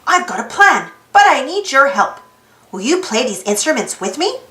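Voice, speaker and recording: A woman's voice: sits high, very animated, normal speed, pushed and bright, roomy sound, heavily acted. A woman voicing an eager, earnest and emotional little boy in a children's storybook. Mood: brave. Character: plucky, emotional little boy Quincy, eager team leader.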